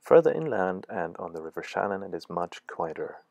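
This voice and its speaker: A man giving calm, scripted voice-over narration for a travel video. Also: low voice, moderate pace, gravelly, no room sound.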